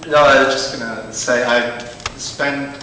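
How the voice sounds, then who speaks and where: A man's voice: mid-range voice, steady tone, measured pace, bright, forceful tone. A man in the audience giving an explanatory comment in a conversational way. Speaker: audience member. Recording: distant echoey room mic, noise.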